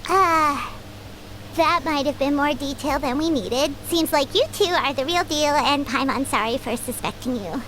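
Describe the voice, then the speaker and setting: Very high squeaky fairy companion girl. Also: normal speed, a little hiss or hum, low-quality recording. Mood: sheepish. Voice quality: squeaky, childlike. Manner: brash, excitable, speaks of herself by name. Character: small, cheeky, talkative floating fairy companion girl. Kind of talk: English video game dub acting. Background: loud background music.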